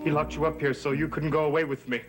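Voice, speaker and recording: An actor playing an intense, resentful young son in a vintage gothic television soap. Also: male voice, a little high, flat delivery, fast, close to an everyday voice. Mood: accusing, intense. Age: young adult. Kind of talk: 1960s-70s television soap melodrama. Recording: old TV soundtrack with music behind.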